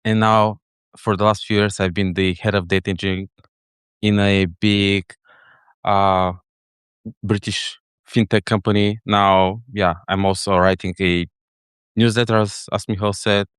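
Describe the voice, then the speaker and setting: A middle-aged man from Bulgaria with a Bulgarian accent, explaining things casually as an interview guest, thinking aloud in halting phrases. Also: on the low side, flat delivery, fairly bright tone, clear, resonant tone, no echo. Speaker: interview guest, head of data engineering.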